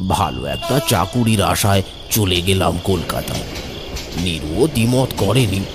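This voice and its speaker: A man narrating a first-person horror story, somber and measured. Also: deep voice, a little hiss or hum. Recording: background music.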